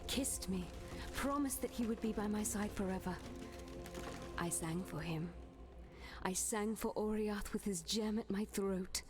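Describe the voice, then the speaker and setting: Woman doing a lovelorn sea siren monster from a game, real slow and dramatic, drifts into whispers. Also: mid-range voice, over music, natural voice. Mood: wistful, entranced. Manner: slow, theatrical, breaking into whispers. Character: Merveil, monstrous female sea siren, lovelorn, tragic, obsessed. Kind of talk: dramatic video game monologue.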